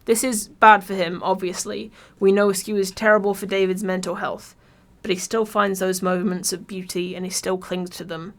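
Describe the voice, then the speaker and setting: A woman's voice: mid-range voice, flat delivery, normal speed. A woman from Birmingham with an English accent reads a scripted video essay in a wry, informal, sweary way. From Birmingham, England.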